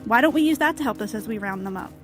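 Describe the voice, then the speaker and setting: An actress plays a frank junior field agent in an audio drama. Her voice is on the high side, and she talks directly, often questioning. Music plays clearly behind her. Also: animated, fast, natural voice.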